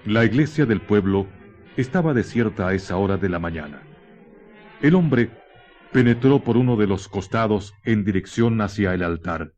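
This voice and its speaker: A man narrating a scripted radio horror drama in a grave, dramatic manner with deliberate pauses. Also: lowish voice, expressive, a little mellow, lo-fi sound, faint music behind.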